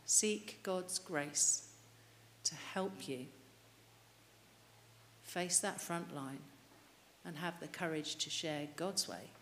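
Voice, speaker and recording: Low-voiced woman preaching in a church. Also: takes it slowly, pauses often, fairly bright tone. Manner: earnest, asks the congregation questions. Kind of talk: sermon. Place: church, indoors, at a lectern. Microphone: lectern mic. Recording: reverberant church hall, light hiss.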